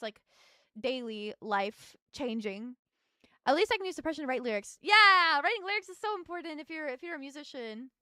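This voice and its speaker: A woman's voice: sits high, bright, forceful tone, clean tone, no echo, clean studio sound. A young adult woman streaming as a VTuber, chatting casually with her chat while she builds in a game and thinks aloud.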